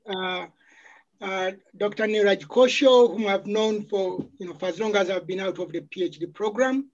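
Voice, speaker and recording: A middle-aged Ugandan man speaks over a video call, his voice pitched high and a touch muffled. He starts hesitantly, repeating little words before settling into his talk. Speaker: guest lecturer. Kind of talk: formal lecture opening.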